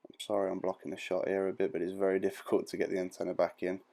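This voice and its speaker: A man's voice: sits low, completely flat delivery, racing, creaky, warm tone. A man giving an informal step-by-step tutorial voice-over in a plain-spoken, reassuring, explanatory manner. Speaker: repair tutorial presenter.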